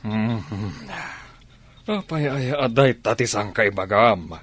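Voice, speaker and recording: A man's voice: on the low side, slow pace, fairly bright tone, husky, no echo, exaggerated voice. An actor playing a womanizing, brusque older husband and landlord in an Ilocano radio drama. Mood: irritated, argumentative.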